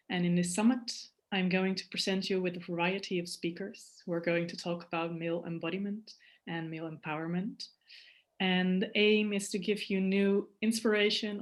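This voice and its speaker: Female summit host, measured formal intro, video call. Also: lowish voice. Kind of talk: scripted interview introduction.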